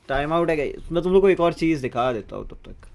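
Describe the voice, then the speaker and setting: A man's voice: fairly high pitch, racing, darkish tone. A man with an Indian accent hosting a livestream watch party, chatting casually and switching between Hindi and English. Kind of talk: casual live stream chat, mixing Hindi and English.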